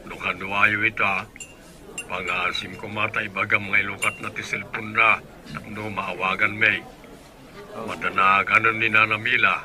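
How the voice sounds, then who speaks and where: A man's voice: on the low side, little pitch movement, a little slow, crowd noise, close to an everyday voice. A man playing an earnest, concerned young friend in a Filipino audio drama.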